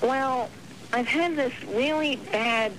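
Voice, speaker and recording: Bright, hesitant woman caller, put on by a prankster. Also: high-pitched, slow. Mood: hesitant, polite. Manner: hesitant, polite. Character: meek, polite woman caller with health complaints. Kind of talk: prank call to radio show. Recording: slightly noisy telephone call to radio.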